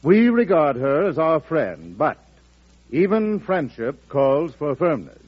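An actor playing a measured, formal Secretary of State in old-time radio drama style, with a husky voice. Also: male voice, a little high, sing-song, full character voice. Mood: formal, resolute. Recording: dull vintage radio recording.

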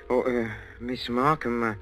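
An actor playing a meek but secretly resentful botany professor in a 1940s radio thriller, with a Central European accent and hesitant, repetitive speech. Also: male voice, medium pitch, fairly even intonation, a bit bright. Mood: hesitant, smitten. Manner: hesitant, halting, repeating words. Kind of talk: 1940s radio suspense acting. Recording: 1940s radio recording with music.

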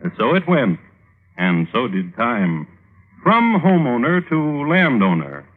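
An actor playing a droll, macabre true-crime host, narrating formally and wryly in 1950s radio style. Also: male voice, medium pitch. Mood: dry, dramatic. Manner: wry, deadpan, formal with deliberate hesitations. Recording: tinny old radio recording.

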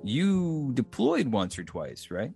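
Brief: thirties male host, mid-pitched, chatty and loose, faint music underneath. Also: wildly swooping pitch, slow, creaky, darkish tone, breathy edge. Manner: chatty, rambling, laughs easily. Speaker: podcast host. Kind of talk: casual interview.

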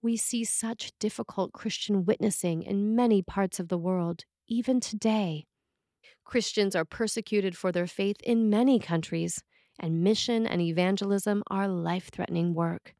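Female preacher, mid-range voice, reading a written sermon in measured, deliberate phrases, recorded dry. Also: mid-range voice, mellow, no room sound.

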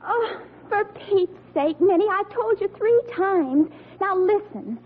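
An earnest, girlish American teenager from a 1940s radio sitcom. She speaks very high, with lively rises and falls and emphatic exasperation. The recording is tinny and narrow. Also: takes it slowly, slight background noise, full character voice. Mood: urgent, persuasive.

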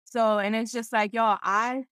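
A young woman tells personal stories in a casual interview, candid and animated, with slang and emphatic repetition. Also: fairly high pitch, a little slow, clean tone, dry recording, clean studio sound. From Philadelphia, United States. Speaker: interview guest, entrepreneur.

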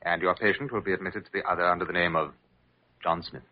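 An actor playing a guarded, insistent gentleman in an old radio drama, speaking formally and precisely. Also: deep voice, fairly even intonation, fast talker. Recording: dull vintage radio recording.

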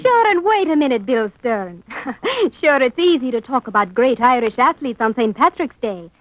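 A woman's voice: a little high, lively intonation, normal speed, no echo, soft background music, lightly acted, low-quality recording. An actress playing a spirited, boastful Irish woman in a 1940s radio show. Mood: spirited, challenging.

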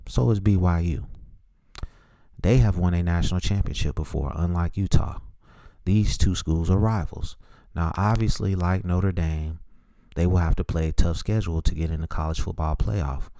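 A middle-aged man hosting a solo podcast, giving his sports opinions in an informal, measured, opinionated way. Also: deep voice, dark tone, dry recording. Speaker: podcast host.

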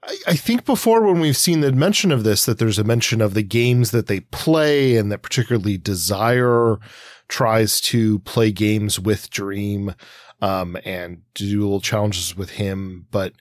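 A man's voice: on the low side, measured pace, no echo, clean studio sound. A man co-hosting a podcast, thinking aloud in winding sentences as he casually analyses a story. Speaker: podcast co-host. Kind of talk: casual analytical book discussion.

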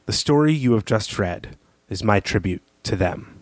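Low-voiced man discussing books, dry sound. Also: lively intonation, normal speed, no room sound. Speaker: podcast co-host. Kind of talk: literary discussion, partly reading aloud.

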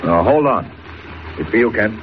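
An actor playing a blustering, impatient newspaper editor in an old radio drama, brusque and emphatic. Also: male voice, on the low side, expressive, moderate pace, lightly acted. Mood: brisk. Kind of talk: 1940s radio acting. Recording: dull, faintly noisy old radio recording.

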